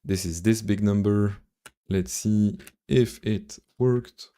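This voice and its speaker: A man with a French accent and a very low voice, casually commenting on his own coding. He takes his time, muttering hesitantly to himself, and his tone is dark and mellow. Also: steady tone, slow pace, clear, resonant tone. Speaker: live-streaming programmer.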